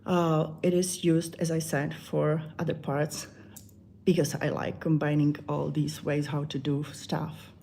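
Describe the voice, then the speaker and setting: A woman with a Czech accent, a meditation channel creator chatting softly and hesitantly on a live stream, with many fillers. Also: low-pitched, flat delivery, measured pace, creaky, a little hiss or hum. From Czech Republic.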